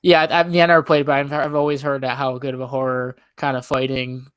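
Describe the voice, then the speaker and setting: A man's voice: medium pitch, flat delivery, fast, fairly bright tone, smooth, dry recording. A man co-hosting a podcast, chatting informally and loosely with plenty of "like" and "I mean". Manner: hedging, informal, leans on "like" and "I mean". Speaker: podcast co-host. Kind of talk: casual chat.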